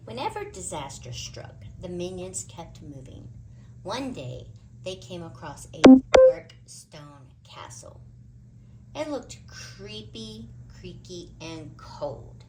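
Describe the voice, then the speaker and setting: Female storybook reader, expressive and dramatic, wide pitch movement, measured pace. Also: background noise. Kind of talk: animated read-aloud story narration.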